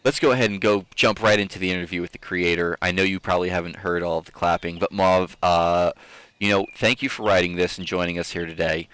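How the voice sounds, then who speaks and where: A man reading a story aloud in a theatrical, expressive manner, with casual asides, like a streamer hosting for an audience. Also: low-pitched, fast talker, clean tone, no echo.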